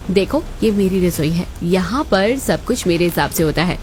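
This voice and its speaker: A woman's voice: lowish voice, expressive, normal speed, a little mellow, slight background noise, close to an everyday voice. A woman playing a spiteful, bossy young sister-in-law in a cartoon family drama, scolding and commanding in a haughty way.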